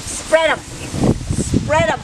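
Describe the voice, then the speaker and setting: Young man, rowdy and crude, squeaky helium voice, heavy outdoor noise on the camera mic. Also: steady tone, drawn-out words. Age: young adult. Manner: crude, teasing. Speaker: challenge participant. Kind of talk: rowdy joking banter. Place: backyard, outdoors. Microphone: camera a few metres away. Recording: loud noisy outdoor camera audio.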